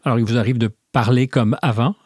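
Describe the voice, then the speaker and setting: Husky Québécois man interviewing. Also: mid-range voice, steady tone, fast talker, a little mellow, dry recording, studio quality. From Quebec. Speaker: podcast host, interviewer. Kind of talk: probing interview questions.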